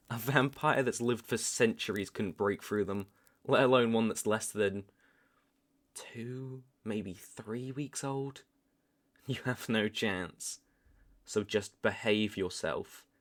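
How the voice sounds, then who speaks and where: A young British man playing a stern, taunting vampire hunter in a roleplay audio drama, speaking deliberately and with cool menace. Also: medium pitch. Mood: smug, menacing. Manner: slow, deliberate, threatening.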